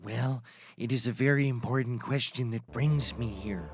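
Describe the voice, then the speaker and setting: A man's voice: medium pitch, normal speed, music in the background, close to an everyday voice. A man playing a grave, troubled mad scientist in a scripted comedy, formal and solemn. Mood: formal, ominous.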